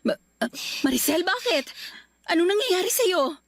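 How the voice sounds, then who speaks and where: A woman's voice: extremely high pitch, big pitch swings, moderate pace, no room sound, full character voice. A woman playing a caring, protective mother of a young girl in a radio drama, with a motherly manner. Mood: alarmed. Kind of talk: Tagalog radio drama acting.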